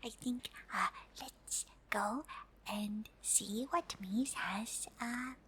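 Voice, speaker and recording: A woman voicing a friendly, curious alien in a whispered ASMR roleplay, halting and politely formal, in a soft breathy voice. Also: fairly high pitch, a little hiss or hum, full character voice. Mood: gentle, curious. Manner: halting, hesitant, politely formal, third-person self-reference. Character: friendly curious pink female alien host, shy and hesitant.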